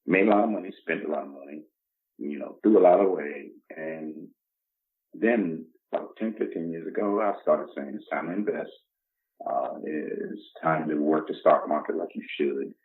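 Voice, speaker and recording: A man's voice: very deep voice, normal speed. A man, talking casually and motivationally as a podcast guest, emphatic and given to acting out dialogue. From the Bronx, New York, United States. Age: 50s. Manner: emphatic, acts out imagined dialogue. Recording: dull, muffled lo-fi recording.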